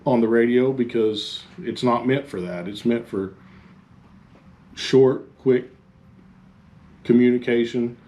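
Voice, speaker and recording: A man giving an informal instructional talk as a fire chief, halting and plain-spoken. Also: medium pitch, warm tone, lo-fi sound. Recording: faint background noise.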